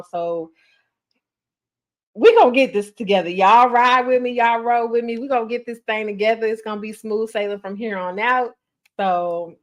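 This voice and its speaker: A woman, chatting casually and animatedly as a podcast host on a livestream. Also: mid-range voice. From North Carolina, US. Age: 30s. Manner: chatty, animated, addresses listeners as y'all.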